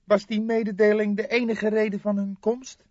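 A man reading an audiobook, voicing an excitable young police detective who questions eagerly and indignantly. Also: high-pitched, moderate pace, no echo, dull audio, pitched above the performer's own voice, natural voice. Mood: eager, probing.

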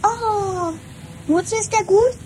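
Very high-pitched woman acting a naive young bride-to-be, childlike, whiny, stubborn, comedy radio play, noisy lo-fi recording. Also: takes it slowly, darkish tone. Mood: eager, pouting. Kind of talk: improvised 1980s trash comedy radio play.

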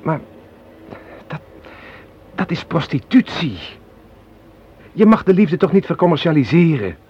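Lovestruck, bewildered young man, radio drama; highish voice, swinging intonation, halting and pleading, muffled old recording. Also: big pitch swings, slightly rough, heavily acted. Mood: shocked, protesting. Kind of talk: radio play acting.

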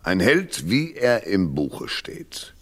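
An actor playing a world-weary, hard-boiled private detective in a 1970s German radio drama, sardonic and laconic. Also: male voice, deep voice, big pitch swings, slow pace, fairly bright tone, a little hiss or hum, heavily acted. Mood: weary narration. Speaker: lead actor and narrator.

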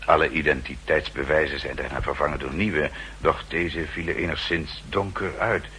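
An actor playing a formal, dryly polite aide to a spymaster in a 1960s radio drama, deferential, measured and precise. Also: male voice, rumbling low, fairly even intonation, bright, forceful tone. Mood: measured briefing. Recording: 1960s radio recording, faint hum and noise.

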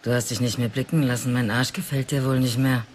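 An actor playing a scornful, wounded former lover in a radio drama, bitter in manner. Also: male voice, mid-range voice, fairly even intonation, a little fast, slight background noise, lightly acted. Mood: reproachful.

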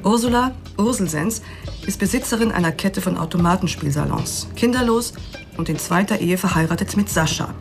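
A woman's voice: mid-range voice, brisk, close to an everyday voice. A woman playing a bossy, exacting lawyer in a German radio drama, with a commanding, withering delivery. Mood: businesslike. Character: bossy, exacting female lawyer, brisk and cutting. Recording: music behind the voice. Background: music.

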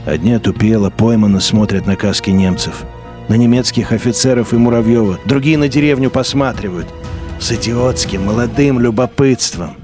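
A man narrating a radio drama with tense, expressive emphasis. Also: medium pitch, moderate pace, over music.

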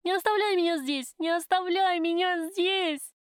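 A woman's voice: lively intonation, brisk, no room sound, studio quality, natural voice. A woman voicing a desperate, bitter young woman in prison, emotional and pleading, in an audiobook. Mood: frantic pleading. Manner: emotional, pleading, high-strung.

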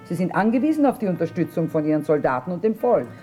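Tough middle-aged trader mom in a radio play, lowish voice, plain earthy talk, music around. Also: female voice, big pitch swings, fast talker, mellow. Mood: sardonic musing. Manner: earthy, plain-spoken, sober. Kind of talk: stage-style German radio drama acting.

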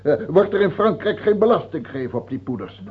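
Husky, capricious tyrant king. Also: high-pitched, expressive, quick pace, exaggerated voice. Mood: mocking, imperious. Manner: imperious, taunting, hesitating then outbursting. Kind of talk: theatrical radio drama acting. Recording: muffled, phone-like old radio play recording.

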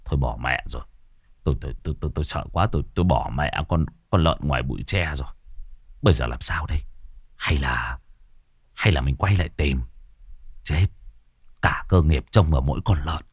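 A man voicing a frightened village husband who stammers in a low, hushed voice. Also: animated, fairly quick, pauses often, husky, no echo, studio quality, exaggerated voice. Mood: scared.

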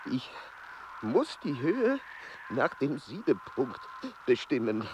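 Exhausted explorer, slow and strained, pedantic, wide pitch swings, noisy outdoor ambience, radio play. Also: male voice, very animated. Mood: strained, confused. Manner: halting, strained. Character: exhausted, pedantic explorer struggling at high altitude.

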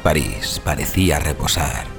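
A man narrating literary fiction for an audiobook in a measured, grave, theatrical manner. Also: rumbling low, expressive. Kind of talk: scripted dramatic literary narration. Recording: clear music bed behind narration.

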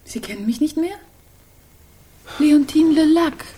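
An actress playing a composed, gentle young Frenchwoman in service, in a German radio crime play. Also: high voice, lively intonation, measured pace. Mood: gentle, caring. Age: young adult.

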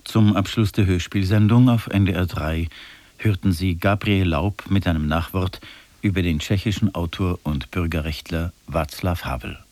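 A man's voice: low voice, fairly even intonation, normal speed, a little mellow, no room sound. A male radio announcer, reading scripted programme announcements in a studio.

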